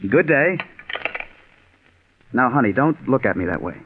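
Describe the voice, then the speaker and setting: A man's voice: animated, measured pace, close to an everyday voice. An American actor playing an earnest, nervous writer in his early thirties in a 1940s radio drama. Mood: polite, eager, then excited, pleading. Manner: earnest, high-strung. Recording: tinny, noisy 1940s radio recording.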